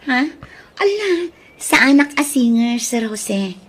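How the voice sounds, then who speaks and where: A woman's voice: a little high, big pitch swings, takes it slowly, husky, exaggerated voice. A woman playing Mary, a shy and polite young singer, in an audio drama.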